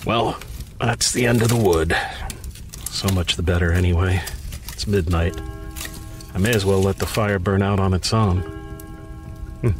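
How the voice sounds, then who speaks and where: An actor playing a grief-stricken, bookish widower who mutters anxiously to himself in a theatrical old-time radio horror monologue. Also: male voice, low voice, steady tone, measured pace, pauses often. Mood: brooding. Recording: music under the voice. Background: prominent music.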